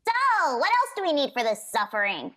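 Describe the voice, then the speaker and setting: A woman voicing a feisty, blunt little tadpole girl in an animated cartoon. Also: on the high side, fairly quick. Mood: deadpan, blunt. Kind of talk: animated cartoon table read.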